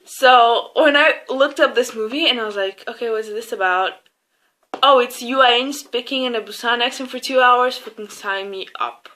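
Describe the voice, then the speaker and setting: Casting: young female movie reviewer, fairly high bright voice, informal chatty delivery, gushing and sweary. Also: moderate pace, hardly any pauses. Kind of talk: casual, opinionated film review chat.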